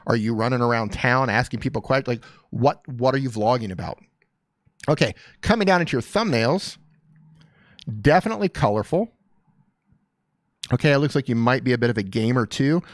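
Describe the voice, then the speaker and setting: A man's voice: medium pitch, expressive, fairly quick, long pauses, no echo, clean studio sound. A man hosting a live stream, giving casual, upbeat coaching advice on channels and reading viewer comments aloud. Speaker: YouTube coach reviewing channels.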